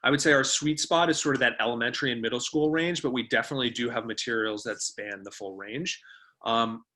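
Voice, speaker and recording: A man's voice: mid-range voice, fairly even intonation, brisk, pushed and bright. A man giving an informal online presentation, explaining things in a conversational way as the webinar's presenter. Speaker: webinar presenter, company co-founder. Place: remote webinar.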